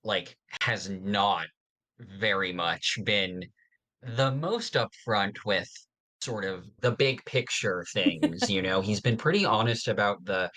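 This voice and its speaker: A podcast co-host with a very low-pitched voice that still sounds bright and crisp-edged. The delivery is informal and chatty, like fans talking over a favorite series. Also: slow, rough recording. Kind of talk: casual fan discussion podcast.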